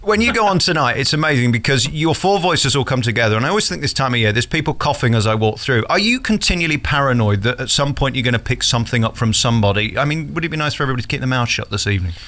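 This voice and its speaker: A man's voice: medium pitch, lively intonation, rapid-fire, hardly any pauses, a bit bright. A man hosting a jokey interview, cheeky and teasing, generous with compliments. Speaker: radio interviewer. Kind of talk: jokey celebrity interview chat.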